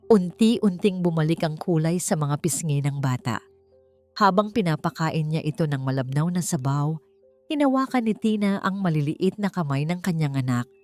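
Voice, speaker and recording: A woman with a Filipino accent narrating a scripted dramatic story in a solemn, emphatic, deliberate way. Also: on the low side, a little mellow. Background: background music.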